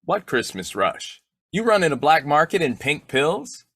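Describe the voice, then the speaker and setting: A man playing a blustery, boyish, quick-tempered bumbling husband in old-time radio comedy, with a folksy, slangy, argumentative way of talking. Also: a little high, very animated, pushed and bright, husky, no room sound, studio quality, full character voice. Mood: teasing.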